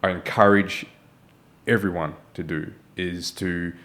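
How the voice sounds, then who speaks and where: A man's voice: low voice, steady tone, unhurried, fairly bright tone. A man, hosting an interview in an earnest, encouraging way and often adding "right?". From Malaysia. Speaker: podcast host. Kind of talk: casual interview chat.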